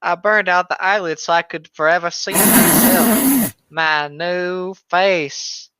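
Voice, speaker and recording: A man voicing a deranged teenage boy killer from a horror story, creepy and drawn-out, in slightly muffled audio. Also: high-pitched, fairly bright tone, clean tone, no echo. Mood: creepy pride. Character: deranged teenage boy killer, proud of his mutilated face.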